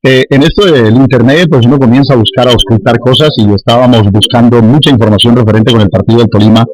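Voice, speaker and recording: Quick-talking Colombian sports radio man. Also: medium pitch, fairly even intonation, faint music behind, poor audio. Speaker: sports journalist on a radio show. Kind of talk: live radio talk.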